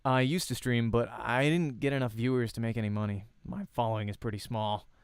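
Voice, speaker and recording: A man's voice: medium pitch, steady tone, smooth, no room sound, studio quality, close to an everyday voice. Man acting a hesitant, awkward young amateur gamer. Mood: sheepish. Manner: hesitant, halting.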